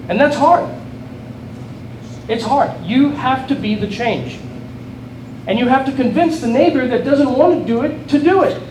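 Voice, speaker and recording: A middle-aged man from South Africa with a South African accent, giving an earnest, rallying political speech to a crowd and often restarting his phrases. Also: very high voice. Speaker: state legislator giving a speech. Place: event hall with an audience. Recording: echoey hall, voice from far off, noisy.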